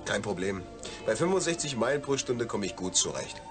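Lively, jovial astronaut man. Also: fairly low pitch, brisk, music in the background. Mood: cheerful, chatty. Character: tipsy, cheerful male astronaut chatting on the phone. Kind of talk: radio play dialogue.

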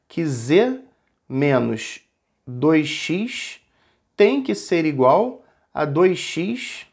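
A man's voice: fairly high pitch, a little mellow, husky. A man in his forties, a math teacher patiently working through an exam problem step by step, speaking to a webcam at home. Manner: patient, repeats and points at the board.

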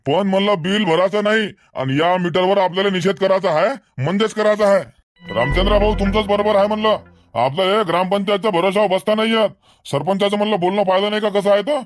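A man's voice: high voice, lightly acted. A man voicing a talkative, level-headed villager in a comedy cartoon, earnest and wordy, with a Varhadi Marathi accent. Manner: earnest, wordy, repeats himself.

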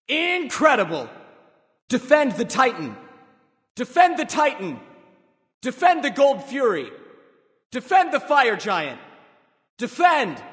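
An actor playing a proud, commanding warrior sage god in a video game, giving crisp, theatrical callouts with confidence. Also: male voice, very high-pitched, sharp tone, in a large hall, exaggerated voice. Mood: proud, taunting.

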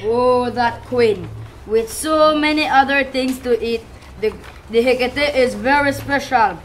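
Woman as a measured, kindly gentleman fish. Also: a little high, natural voice. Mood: wise, concerned. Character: kindly, respected male fish, wise helper. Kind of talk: amateur puppet show acting. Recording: noisy live puppet show.

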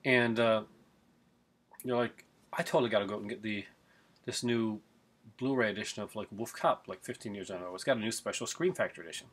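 A man's voice: lowish voice, a little fast, long pauses, a bit bright, husky. A middle-aged man chatting casually in a home unboxing vlog, rambling with lots of fillers and restarts, recorded on a webcam at his desk. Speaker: collector unboxing a Blu-ray. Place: small home room, indoors.

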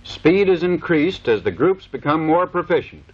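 A man's voice: on the high side, animated, normal speed. Man narrating an old training film. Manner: crisp, matter-of-fact instruction. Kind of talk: scripted instructional narration. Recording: dull lo-fi 1930s film soundtrack.

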